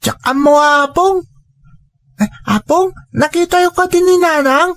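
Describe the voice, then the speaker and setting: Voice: very high-pitched, measured pace, a bit bright, dry recording, clean studio sound, heavily acted. A performer playing an earnest, respectful young boy, a dutiful grandson, speaking politely with an Ilocano accent in an audio drama.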